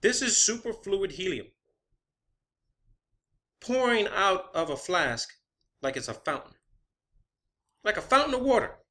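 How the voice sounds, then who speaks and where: Bright, high-pitched man, ranting and profane. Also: big pitch swings, a little slow, pauses often. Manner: profane, emphatic, combative; repeats phrases for emphasis. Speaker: video creator. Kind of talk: impassioned rant to online viewers.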